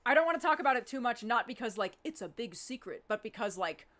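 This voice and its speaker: A woman talking informally in a live Q&A as a show's creator, thinking aloud and pausing as she goes. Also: a little high, expressive, a bit bright. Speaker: audio drama writer and producer. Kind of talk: casual chat in a live Q&A.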